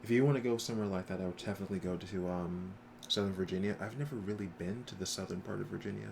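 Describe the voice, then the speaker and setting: A man's voice: sits low, fairly even intonation, quick pace, gravelly. An American man chatting casually as a game streamer on a live stream. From United States. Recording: noisy stream, game sounds behind.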